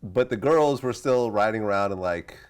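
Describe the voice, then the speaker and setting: A man's voice: lowish voice, normal speed, smooth. A man with an American accent asking questions casually as the host of an interview.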